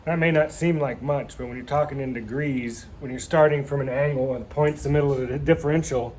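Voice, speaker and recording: A man's voice: mid-range voice, fairly even intonation, a little fast, darkish tone. A man casually explaining a car brake swap in his workshop, rambling and joking, calling his viewers kids. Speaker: garage mechanic demonstrating a brake swap. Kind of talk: casual hands-on how-to talk. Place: workshop garage. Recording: noisy shop ambience.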